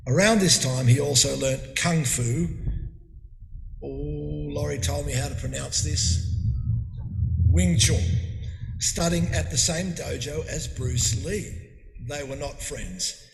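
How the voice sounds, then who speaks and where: A man's voice: on the high side, gravelly, faint music behind. Man giving a eulogy in an echoey hall. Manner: conversational, halting with fillers and restarts. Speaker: eulogy speaker. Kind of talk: funeral eulogy. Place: memorial hall. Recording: echoey hall with long reverb.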